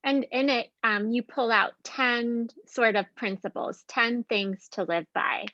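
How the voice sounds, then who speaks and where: A woman interviewer building a long, thoughtful question with soft fillers. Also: fairly high pitch, unhurried, no echo, muffled recording.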